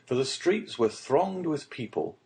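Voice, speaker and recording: A man reading literary narration as an audiobook narrator, in a formal and measured storytelling manner. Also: mid-range voice, very animated, darkish tone.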